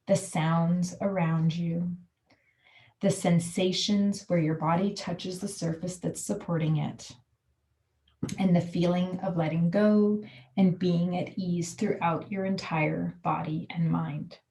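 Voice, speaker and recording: A woman guiding a relaxation meditation, giving calm, soothing, measured instructions. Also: on the low side, low-quality recording. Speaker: yoga nidra guide.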